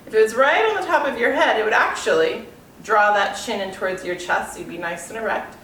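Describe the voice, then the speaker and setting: A woman in her thirties guiding a yoga class in a calm, unhurried way, sounding a little congested and nasal. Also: fairly high pitch, a little hiss or hum. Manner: calm, unhurried instruction with soft trailing phrases. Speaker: yoga teacher. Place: room with yoga mat, indoors. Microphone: far camera. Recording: echoey room, mic at a distance.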